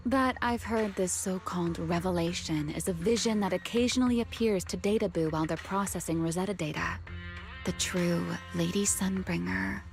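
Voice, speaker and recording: A sly actress-voiced huntress from a video game story speaks in a mid-pitched female voice, coy and playfully teasing. She keeps her secrets with unhurried poise, over faint background music. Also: gravelly, close to an everyday voice. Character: teasing, secretive, long-lived woman hunter with sly poise.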